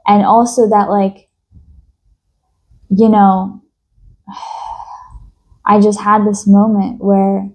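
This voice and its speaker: A young woman from New York talks in a slow, reflective personal monologue, thoughtful and hesitant, with many fillers and restarts. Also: medium pitch, flat delivery, dark tone. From United States. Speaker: vlogger.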